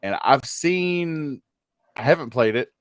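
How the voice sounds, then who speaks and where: A man's voice: fairly high pitch, expressive, slow pace, dry recording. A man hosting a live gaming show in an easygoing way, leading into topics. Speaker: host.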